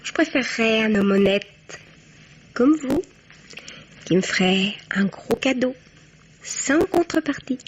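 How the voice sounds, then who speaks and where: A woman's voice: a little high, expressive, takes it slowly, long pauses, slight background noise. An actress playing a cheeky young woman blackmailer, chatty, sly and mock-innocent, in a French radio crime drama. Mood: coy, greedy. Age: young adult. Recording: dull, band-limited radio sound.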